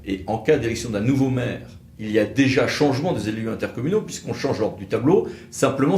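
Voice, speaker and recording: A man giving explanatory legal commentary as an expert presenter, halting at times, with wry, sceptical asides. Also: lowish voice, vocal fry, a little mellow. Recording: roomy echo, faint background noise.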